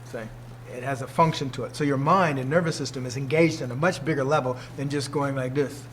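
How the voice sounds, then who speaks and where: A man's voice: medium pitch, fairly quick, a little hiss or hum. A man in his sixties, a martial arts teacher, giving an informal talk to students, emphatic and fond of rhetorical questions. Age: 60s.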